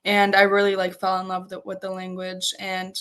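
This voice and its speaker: A woman in her twenties, a native Polish speaker, answering interview questions as a student in a halting, conversational way with frequent filler "like". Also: mid-range voice, completely flat delivery, fairly bright tone. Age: 20s. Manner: hesitant, peppered with "like". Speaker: interview guest, first-year medical student.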